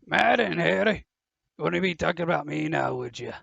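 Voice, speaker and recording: A man's voice: a little high, lively intonation, moderate pace, gravelly, fairly bright tone, dry recording, highs cut off, full character voice. A man playing a folksy, friendly groundskeeper in an audio drama, with casual rustic phrasing. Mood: teasing. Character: gruff friendly male groundskeeper with rustic speech. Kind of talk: fan audio drama acting.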